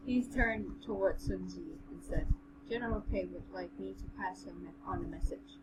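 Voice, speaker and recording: A woman's voice: medium pitch, normal speed, heavy background noise. A woman co-hosting a casual read-along podcast, talking in an earnest, rambling, hesitant way as she reads and comments. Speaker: podcast co-host reading a novel aloud. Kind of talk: casual chat and amateur read-aloud with commentary.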